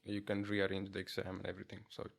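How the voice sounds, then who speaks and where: A man's voice: low voice, monotone, quick pace, gravelly. A young man from India with an Indian accent, chatting in an interview in English, his second language, with many fillers and restarts. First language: not English. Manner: hesitant, many fillers and restarts, laughs easily. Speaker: guest, international student. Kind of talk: casual interview chat.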